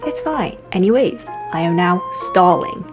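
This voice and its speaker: A woman's voice: fairly low pitch, lively intonation, a little slow, over music. A young woman giving casual, playful gameplay commentary with a theatrical, sardonic manner. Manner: theatrical, sardonic, jumps into exclamations. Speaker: game streamer.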